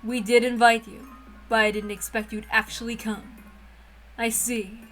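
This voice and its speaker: Ancient witch in a girl's body, performed by a woman: dry, taunting, theatrical, higher-pitched, faint music underneath. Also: flat delivery, a little slow, natural voice. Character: coolly menacing thousand-year-old witch in girl's form. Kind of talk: amateur dramatic reading of a visual novel.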